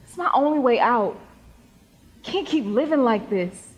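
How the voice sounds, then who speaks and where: Determined, defensive teenage girl athlete played by a woman, higher-pitched and husky, stage drama in a reverberant hall. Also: animated, measured pace, dull audio. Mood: determined, weary. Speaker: stage actor. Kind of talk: stage play acting. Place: theatre stage, recorded in the hall. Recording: echoey hall with long reverb.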